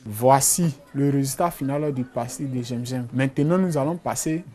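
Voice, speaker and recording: A man's voice: mid-range voice, normal speed, mellow. A man, a wholesaler explaining his work in an on-site interview, with restarts and hesitations. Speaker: ginger candy wholesaler.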